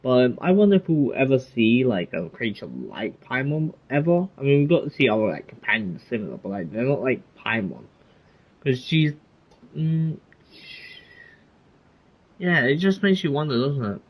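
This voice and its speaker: A man's voice: medium pitch, very animated, moderate pace, poor audio. Rambling man reacting to a game. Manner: rambling, musing. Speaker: gaming streamer. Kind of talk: casual reaction commentary.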